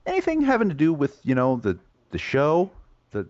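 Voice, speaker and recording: Man playing a hesitant, very animated colleague. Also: on the high side, no room sound, dull audio. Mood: anxious, pleading. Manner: halting, stammering. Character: hesitant, increasingly needy colleague fishing for credit. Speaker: show colleague. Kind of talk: scripted comic skit, conversational.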